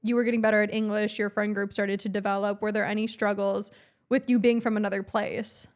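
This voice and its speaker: A woman's voice: mid-range voice, flat delivery, brisk. Chatty woman with a Chicago accent. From US Midwest, Chicago. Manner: chatty, curious, swears casually. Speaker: podcast host. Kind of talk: casual interview chat.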